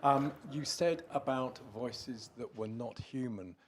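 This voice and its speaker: A man's voice: medium pitch, takes it slowly. A man in an audience casually putting a question to a speaker. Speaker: audience member asking a question. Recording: background room noise.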